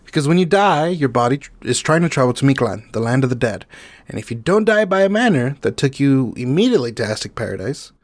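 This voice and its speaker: A man's voice: mid-range voice, sing-song, dry recording. Playful male podcast host, very dry sound. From Mexico. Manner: playful, drawn-out emphasis, drops in Spanish. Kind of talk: bantering comedic podcast talk.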